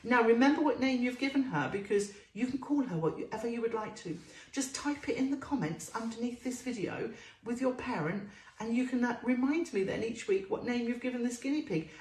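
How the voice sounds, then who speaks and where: Female children's storyteller, very lively sing-song delivery, drawn-out key words, roomy echoing recording. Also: fairly high pitch. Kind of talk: storytelling to young children with questions and phonics.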